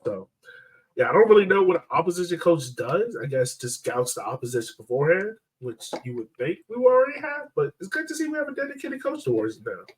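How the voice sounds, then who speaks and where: A man's voice: high voice, big pitch swings, fast talker, rough recording. A man hosting a casual sports podcast, talking in a chatty, informal way.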